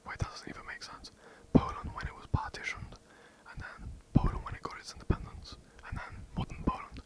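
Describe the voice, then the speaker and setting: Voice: extremely high pitch, a little fast, darkish tone. A young adult with an English accent, whispering a casual, rambling history overview for ASMR. The talk is loose and self-mocking, with swearing and asides. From England. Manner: casual, rambling, swears, giggles at own slips. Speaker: ASMR creator. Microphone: poor quality home mic.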